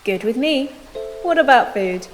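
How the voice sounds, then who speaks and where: A woman's voice: on the high side, expressive, measured pace. A woman playing a level-headed, wry young teammate in a fan audio drama. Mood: wry, easygoing. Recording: long reverb, music behind.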